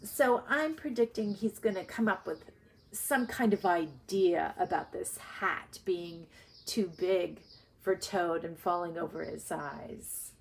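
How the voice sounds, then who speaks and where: A woman teacher reading aloud to young children and explaining her thinking in a deliberate way. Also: fairly low pitch, creaky, slightly rough. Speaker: primary school reading teacher.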